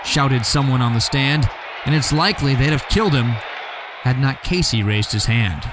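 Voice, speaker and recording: A man giving a dramatic recitation of a poem, with big swings in pitch and pace and bursts of shouting. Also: normal speed, crowd noise, noisy background. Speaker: poetry reader.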